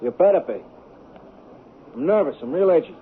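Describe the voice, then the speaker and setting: Actor as an edgy small-time crook, tinny radio. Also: male voice, fairly high pitch, expressive, normal speed, close to an everyday voice. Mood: nervous, alarmed. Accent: American. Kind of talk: 1970s radio drama acting. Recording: tinny, noisy 1970s radio recording.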